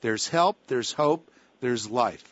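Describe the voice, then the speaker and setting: A man's voice: medium pitch, animated, slow pace, dry recording, lo-fi sound. A man hosting a talk radio show, talking with callers and guests in an emphatic, preacher-like way with a lot of repetition.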